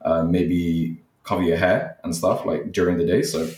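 A man's voice: deep voice, level tone, normal speed, darkish tone, far from the mic. A young man from Nepal, a guest on a podcast, chatting casually and hesitantly, full of fillers. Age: young adult. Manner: rambling, many fillers, hesitant. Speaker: guest, content creator. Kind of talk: casual interview chat. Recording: echoey, from off the mic.

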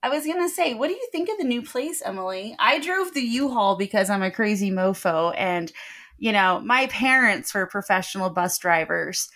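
Animated, joking middle-aged woman telling stories. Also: mid-range voice, moderate pace. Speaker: podcast co-host. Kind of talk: casual chat. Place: bedroom in a new home, sitting on the floor.

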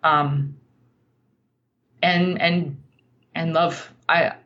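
A woman being interviewed as a writer, speaking in a thoughtful, halting, reflective way. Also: sits low, steady tone, lo-fi sound.

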